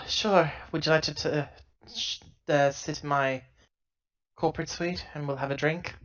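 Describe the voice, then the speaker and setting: A man playing a tabletop roleplaying game with casual, joking narration and character dialogue in a halting, dry manner. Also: a little high, steady tone, a bit bright, muffled recording.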